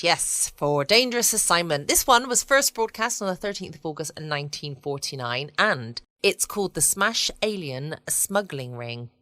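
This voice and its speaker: Bright, animated British woman podcaster. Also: fairly low pitch, dry recording, clean studio sound. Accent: British, southern English. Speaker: podcast host. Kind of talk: chatty late-night podcast talk. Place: dry studio.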